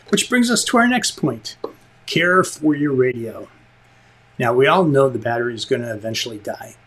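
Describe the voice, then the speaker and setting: A man with a slightly husky voice presenting a lesson as host, conversational and emphatic, with joking asides. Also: mid-range voice. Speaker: instructor and show host, film director.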